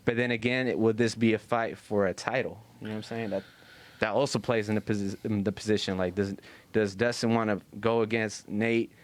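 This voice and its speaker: A man's voice: fairly low pitch, fairly even intonation, fairly quick. A man in casual sports chat on a podcast, slangy and profane, retelling exchanges in reenacted quotes. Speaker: podcast host.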